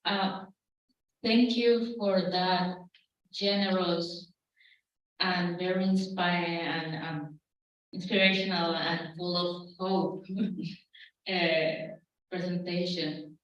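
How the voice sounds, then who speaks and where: Low-voiced woman asking from afar. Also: flat delivery, slow, muffled recording. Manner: hesitant, many fillers, warm laughs. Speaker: audience member asking a question. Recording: very echoey hall, distant mic.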